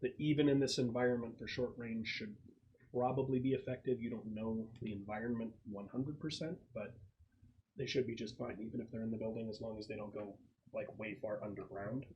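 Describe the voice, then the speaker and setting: A man's voice: lowish voice, steady tone, a little fast. A man acting as game master, casually explaining plans to players at a tabletop roleplaying game. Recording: muffled, slightly noisy group recording.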